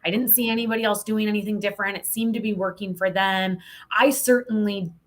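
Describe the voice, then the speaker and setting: A woman's voice: mid-range voice, fairly even intonation, fairly quick. A woman speaking as a podcast guest, telling her own story in a frank, reflective, conversational way and often saying "like". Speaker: podcast guest, sales coach.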